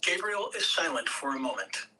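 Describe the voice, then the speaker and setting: A woman's voice: very deep voice, very animated, normal speed, recorded from a distance, noisy background. A woman reading stage directions as scripted narration at a table read.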